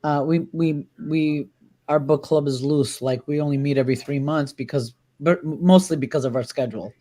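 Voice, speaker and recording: A woman's voice: low-pitched, normal speed, dark tone. Chatty woman host, native Spanish speaker. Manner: chatty and personal, many fillers and restarts. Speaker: host, dog trainer. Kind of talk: casual conversation.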